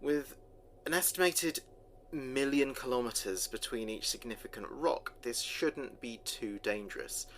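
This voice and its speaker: A man playing an earnest space communications officer, recording logs in a measured, thoughtful way for a sci-fi audio drama. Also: medium pitch, a bit bright, a little hiss or hum. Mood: serious, uneasy. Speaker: communications officer keeping a mission log. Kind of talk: audio drama log narration.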